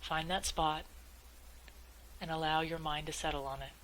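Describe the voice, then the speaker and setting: A woman leading a guided meditation in a measured, gently instructive way, as calm spoken instruction. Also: deep voice, a little hiss or hum, low-quality recording.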